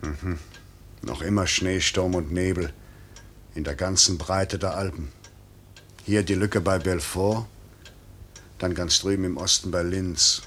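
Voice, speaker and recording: An actor playing a stern, idealistic airline director, solemn and declamatory, with a husky voice in a 1950s German radio play. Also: male voice, sits low, steady tone, unhurried, long pauses, fairly bright tone, a little hiss or hum. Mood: restrained worry. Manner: declamatory, solemn, clipped authority.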